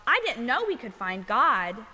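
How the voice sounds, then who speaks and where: A woman's voice: fairly high pitch, big pitch swings, measured pace, pushed and bright, faint music behind, exaggerated voice. A woman voicing an eager, curious little bear cub from a storybook, asking childlike questions in an echoey hall. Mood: excited. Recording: echoey hall, long reverb.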